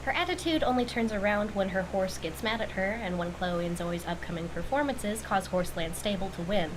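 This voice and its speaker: A woman's voice: medium pitch, fairly quick. Wry woman commentator over background noise. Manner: wry, critical. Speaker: video commentator reviewing a cartoon. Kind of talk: recap commentary.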